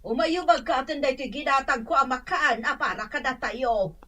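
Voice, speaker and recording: A woman's voice: sits high, moderate pace, sharp tone. A woman with an Ilocano accent playing a middle-aged, lonely aunt who is keen to travel, in a radio comedy drama. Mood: hospitable.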